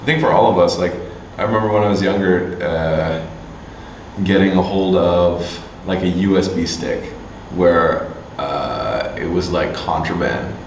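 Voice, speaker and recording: A man from Canada answering interview questions casually, halting with many fillers and restarts. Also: low voice. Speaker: musician and agency owner. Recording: distant mic, echoey room, faint hum.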